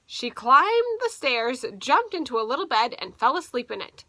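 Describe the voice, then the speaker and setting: A woman with a high, bright voice reads a children's picture book aloud as a teacher would. Her delivery swings expressively up and down, and she drops in explanatory asides for young listeners. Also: big pitch swings, normal speed, no echo. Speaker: teacher reading to a young class.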